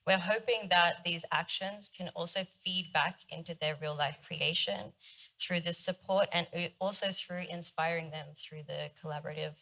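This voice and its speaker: A woman's voice: on the low side, normal speed, sharp tone, rough recording. A woman in her twenties, a young designer on a panel, explaining her project steadily with occasional fillers. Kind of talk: panel discussion talk.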